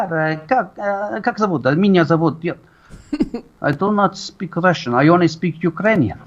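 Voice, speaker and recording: A man's voice: fairly high pitch, dull audio. A man with an English Midlands accent, a guest on a radio show, telling anecdotes in a chatty, rambling way with lots of restarts. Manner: chatty storyteller, full of restarts and asides. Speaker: guest impressionist. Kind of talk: casual radio interview chat.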